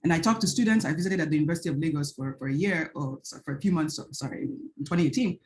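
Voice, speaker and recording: A woman's voice: sits low, very fast, darkish tone. Nigerian woman presenting research on a video call. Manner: earnest, frequent "right?" tag questions and restarts. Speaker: panel speaker, researcher. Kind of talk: academic panel presentation.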